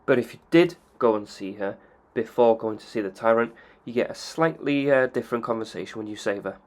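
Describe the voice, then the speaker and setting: A man's voice: medium pitch, brisk, soft background music. A man hosting a gaming channel, explaining in a plain way how game cutscenes are triggered.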